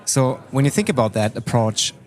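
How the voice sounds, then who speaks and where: A man's voice: mid-range voice, expressive, moderate pace, a little mellow. A man hosting a podcast interview, asking friendly questions and repeating words as he forms them. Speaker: podcast host. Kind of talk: conversational interview.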